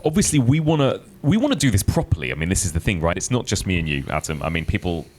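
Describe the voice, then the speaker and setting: A man with a low, deep voice hosts an informal podcast conversation. He talks quickly and enthusiastically, stressing his points and tagging them with little checks for agreement. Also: very animated, darkish tone. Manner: enthusiastic, emphatic, seeking agreement. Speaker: podcast host. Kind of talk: casual enthusiastic podcast chat.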